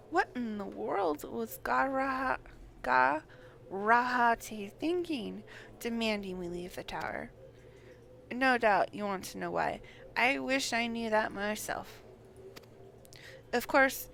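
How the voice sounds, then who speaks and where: A woman's voice: a little high, creaky, fairly bright tone, slight background noise. A woman livestreaming a game, chatting and reading dialogue aloud, sometimes stumbling over names. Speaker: game streamer.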